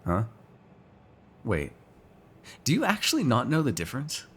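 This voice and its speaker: A man's voice: medium pitch, huge pitch swings, moderate pace, a bit bright, husky, heavily acted. A man playing a sardonic, teasing, foul-mouthed vampire in an ASMR roleplay, talking casually to one listener. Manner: casual, teasing, sarcastic, swears freely.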